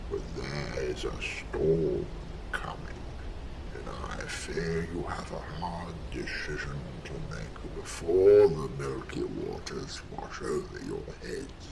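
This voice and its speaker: A man voicing an almighty, menacing god in a comic fantasy audio roleplay, slow and portentous, with a gravelly voice. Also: noisy background, exaggerated voice. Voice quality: gravelly, very low. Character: almighty god of milk, ominous, grandiose, menacing.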